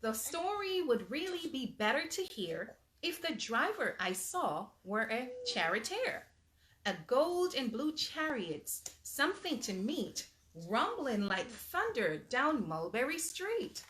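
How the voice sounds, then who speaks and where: A woman's voice: high-pitched, slow pace, a little hiss or hum. A woman hosting a storytime, reading a picture book aloud expressively to children with patient, motherly asides. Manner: animated, patient parental asides.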